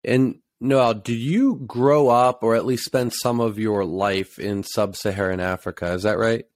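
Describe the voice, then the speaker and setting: A man's voice: on the low side, steady tone, moderate pace, dry recording. A man hosting an interview, asking thoughtful questions with some hesitation. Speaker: podcast host.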